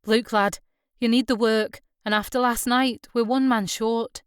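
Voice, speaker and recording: Female reader as Scots male skipper, mid-thirties, direct, reassuring, audiobook dialogue, crisp, dry recording. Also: a little high, fairly even intonation, a little slow, dry recording, natural voice. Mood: brisk, reassuring. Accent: Scottish. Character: slim Scots fishing skipper, man in mid-thirties, steady, fair-minded.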